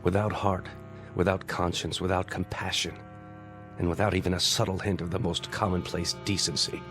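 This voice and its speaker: A man's voice: deep voice, level tone, moderate pace, a bit bright, faint music behind. An actor playing a stern, embittered old businessman in an audio drama, speaking formally with precise, disdainful diction. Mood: contemptuous.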